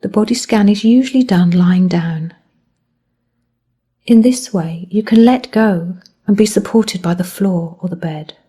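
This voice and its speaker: Mellow, slightly husky woman guiding meditation. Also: medium pitch. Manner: gentle, measured, with soft phrase endings. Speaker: mindfulness meditation teacher. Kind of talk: guided body scan meditation.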